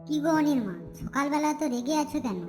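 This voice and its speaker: A woman voicing a chatty village neighbor mother in a cartoon, speaking softly. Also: high-pitched, lively intonation, fast, over music. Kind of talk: cartoon voice acting.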